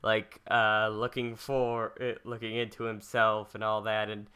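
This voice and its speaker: A man co-hosting a casual podcast, asking questions in a halting way and often restarting his phrases. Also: lowish voice, completely flat delivery, bright, forceful tone, clean tone. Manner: halting, repeats phrase starts.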